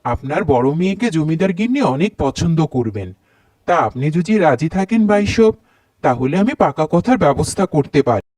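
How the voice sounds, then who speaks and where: A man voicing a courteous, flattering village matchmaker in a cartoon, polite and ingratiating. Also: fairly high pitch, moderate pace, no room sound. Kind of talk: Bengali cartoon voice acting.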